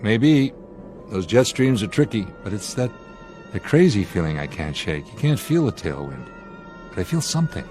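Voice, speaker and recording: Steady, commanding airline captain. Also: male voice, lowish voice, normal speed. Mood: puzzled, uneasy. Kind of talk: radio drama acting. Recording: music and engine drone behind.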